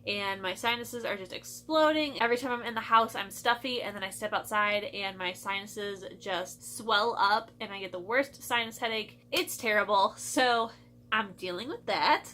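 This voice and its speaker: A woman's voice: medium pitch, normal speed, bright, forceful tone, slight background noise. A young woman from Michigan chatting casually in a book vlog, a little stuffy from her sinuses, with lots of "like" and "I feel like". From Michigan, USA. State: stuffy, congested. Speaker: book vlogger.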